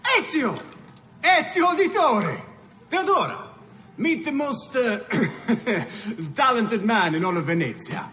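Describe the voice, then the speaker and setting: An actor playing a jovial, teasing Venetian rogue in a video game, with an Italian accent and an exuberant manner. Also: male voice, very high-pitched, big pitch swings, slow pace, sharp tone, exaggerated voice. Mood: delighted. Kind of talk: dramatic video game acting. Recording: long reverb, faint background noise. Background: faint ambience.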